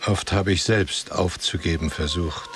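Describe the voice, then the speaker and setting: An actor playing an ancient wandering guardian of a book, giving grave, cryptic answers in a poetic radio drama. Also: male voice, sits low, fairly bright tone, slight background noise. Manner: slow, cryptic, solemn answers.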